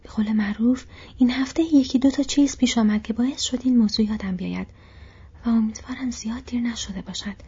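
A woman's voice: on the high side, dark tone, husky. A woman voicing a flustered, busy, middle-aged male innkeeper who talks in a whispery, rambling, apologetic way. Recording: dull lo-fi recording.

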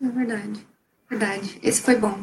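A woman's voice: medium pitch, steady tone, measured pace, gravelly, a little hiss or hum. A woman, a science communicator telling a story in a casual interview, gushing and full of fillers.

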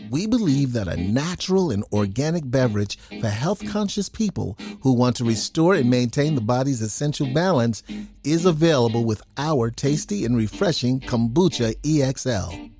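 A man's voice: medium pitch, animated, normal speed, dark tone. A man reading a scripted commercial as an announcer. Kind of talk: scripted ad read. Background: music behind the voice.

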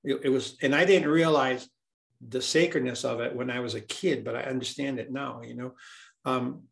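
A man's voice: mid-range voice, steady tone, normal speed, gravelly. A man from Hawaii, a healer speaking as an interview guest, talking earnestly and reflectively, often repeating words as he searches for them.

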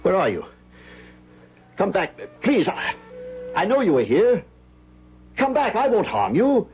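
A man's voice: high voice, huge pitch swings, measured pace, faint music behind, full character voice. A man playing a troubled, headstrong guest in an old radio mystery, speaking and narrating with dramatic intensity. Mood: urgent, imploring. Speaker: lead actor and narrator. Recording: muffled old radio broadcast.

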